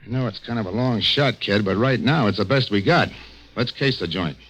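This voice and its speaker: An actor playing a tough, streetwise police detective in a 1940s radio crime drama, clipped, commanding and slangy. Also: male voice, on the low side, expressive, brisk, fairly bright tone, poor audio. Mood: reasoning, steady. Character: tough, streetwise adult police detective, brusque but loyal. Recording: vintage 1940s radio recording with music behind.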